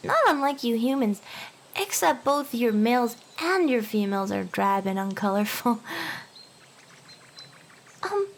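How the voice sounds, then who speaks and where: A woman acts out an eager, smitten harpy girl in an anime ASMR roleplay. Her voice sits on the high side and is chirpy and coaxing, slipping into flustered chatter or soft whispers. There is audible noise behind the voice. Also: lively intonation, unhurried, pauses often. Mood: playful, chatty. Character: hyper, eager young female harpy, smitten and possessive.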